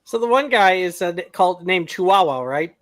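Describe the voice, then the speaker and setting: A man's voice: high-pitched, animated, moderate pace. A man chatting casually on a movie watch-along, making brief, laid-back remarks.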